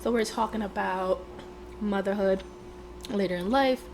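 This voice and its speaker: A woman's voice: medium pitch, measured pace, vocal fry, noisy background. A woman hosting a podcast interview. She is under the weather and talks in a casual, friendly way with plenty of fillers. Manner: friendly and chatty, with frequent fillers. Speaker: podcast host.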